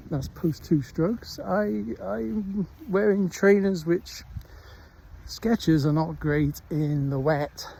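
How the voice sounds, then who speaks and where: Middle-aged Welsh man, slightly high voice, mellow tone, rambling walk-and-talk, phone held in hand outdoors. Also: creaky, a little hiss or hum. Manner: rambling, unhurried chat with frequent pauses. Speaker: vlogger. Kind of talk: casual walking vlog. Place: outdoors on a countryside dog walk. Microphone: handheld phone.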